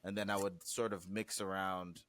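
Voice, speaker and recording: A man's voice: low-pitched, flat delivery, normal speed, a bit bright. A man whose first language is Swahili, a music producer talking animatedly in a casual interview, often trailing off and restarting.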